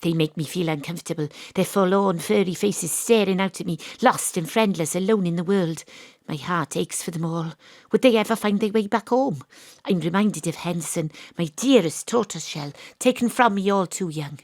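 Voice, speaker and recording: An actress playing a brooding, tender-hearted gumshoe detective, narrating an audio drama in wistful noir style. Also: female voice, fairly low pitch, normal speed, darkish tone, dry recording, studio quality. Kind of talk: scripted first-person audio drama narration. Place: dry home studio.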